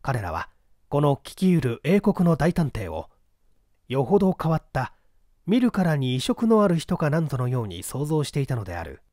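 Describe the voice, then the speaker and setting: A man reading a period detective novel aloud in a measured, formal storytelling manner. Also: a little high, very animated, darkish tone, no room sound, clean studio sound. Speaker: audiobook reader. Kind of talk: scripted literary narration of a period detective novel.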